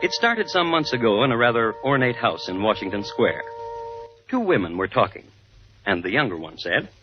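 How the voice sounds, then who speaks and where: An actor playing a droll, morbid gentleman crime connoisseur, narrating with formal deadpan wit in a 1950s radio style. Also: mid-range voice, natural voice. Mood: solemn, droll. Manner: deliberate, deadpan, wry, formal. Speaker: host. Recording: muffled 1950s radio broadcast with music.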